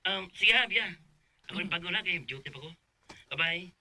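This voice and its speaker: A man's voice: high-pitched, expressive, fairly quick, sharp tone, slight background noise, heavily acted. A man with a Cebuano Filipino accent playing an eager, friendly suitor in a radio drama. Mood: tired, friendly. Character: eager male suitor, friendly and keen to visit.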